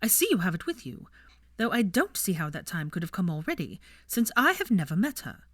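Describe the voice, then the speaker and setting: A woman's voice: on the low side, sing-song, brisk, dry recording, studio quality. A woman voicing a haughty, disapproving aristocratic mother, curt and formal, in an audiobook story. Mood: cool disapproval. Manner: curt, formal, clipped disdain. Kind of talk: audiobook character voice.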